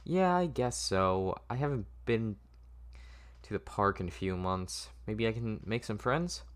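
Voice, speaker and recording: A man's voice: fairly low pitch, animated, a little slow, voice pushed up. A lonely, insecure young girl, acted out by a man in an audio drama, speaks in a raised voice. The delivery is hesitant, polite and self-deprecating. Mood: wistful.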